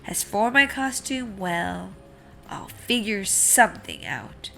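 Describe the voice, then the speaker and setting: Woman voicing a dramatic, animated grumpy little seed fellow. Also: mid-range voice, takes it slowly, vocal fry, soft background music, heavily acted. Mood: resolved. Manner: theatrical, announcing, exclamatory. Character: grumpy, anxious male seed, dramatic but softening. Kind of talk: children's picture book read-aloud.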